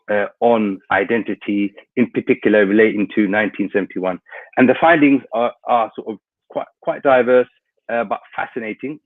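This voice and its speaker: A man's voice: fairly low pitch, no echo. Man on a thin call line. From London, England. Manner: hesitant, many fillers and restarts. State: hay fever, may sneeze. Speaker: guest speaker from an international organisation. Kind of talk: webinar opening remarks. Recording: thin phone-like webinar audio, dry.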